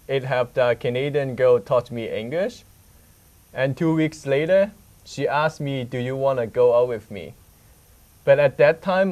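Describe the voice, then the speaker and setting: A man's voice: mid-range voice, a little mellow. Young man from Hong Kong, candid. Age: young adult. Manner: simple non-native English, candid storytelling. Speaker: student reporter. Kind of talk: conversational student news segment.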